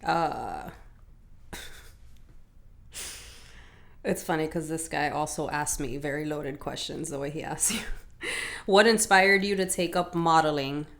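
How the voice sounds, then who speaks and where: A young woman from Miami hosts a podcast and reads out fan questions. She teases and talks bluntly, laughing easily. Her voice sits a bit low. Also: slow, vocal fry, pauses often, a bit bright. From Miami, Florida. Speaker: podcast host. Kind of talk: casual chat.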